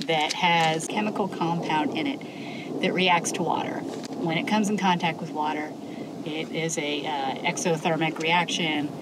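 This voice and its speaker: Middle-aged woman, slightly low voice, camper van vlogger thinking out loud; loud van noise behind her. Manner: chatty, thinks aloud, mutters to herself while working. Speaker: camping vlogger. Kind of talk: casual hands-on demo. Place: inside a parked camper van. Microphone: camera mounted inside the van.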